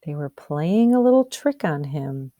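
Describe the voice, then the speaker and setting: A woman teacher with a slightly low voice reads a storybook aloud. She moves between narration and explanation, asking and answering her own questions. The recording is dry and close. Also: big pitch swings, unhurried, no room sound, dull audio.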